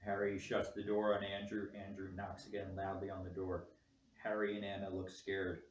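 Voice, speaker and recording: A man's voice: low-pitched, monotone, moderate pace. A man reading stage directions as scripted narration at a table read. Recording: echoey room, distant mic, clear noise.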